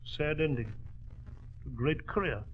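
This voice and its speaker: An actor playing a bluff, puzzled older English gentleman doctor in 1940s radio drama, with a British accent and blustery, exclaiming manner. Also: fairly high pitch, a little slow, slightly rough. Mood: somber. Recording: dull, noisy vintage radio recording.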